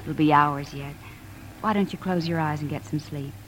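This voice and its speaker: An American actress playing a devoted wife in a 1950s radio drama, speaking tenderly and reassuringly. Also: female voice, deep voice, steady tone, normal speed, fairly bright tone, low-quality recording. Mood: tender, soothing. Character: devoted, soothing wife, mildly questioning. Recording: old radio broadcast, faint noise.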